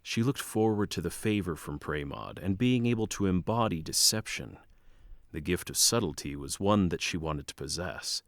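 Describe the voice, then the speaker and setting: A man's voice: low voice, lively intonation, normal speed, dry recording, studio quality. A man narrating a fantasy tale in a scripted storytelling style. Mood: measured.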